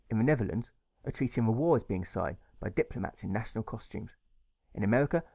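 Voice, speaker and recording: A man reading a rhyming audiobook story aloud in measured, rhythmic phrases. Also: medium pitch, darkish tone, no room sound, studio quality. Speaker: author reading his own audiobook. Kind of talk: scripted storybook narration in rhyme.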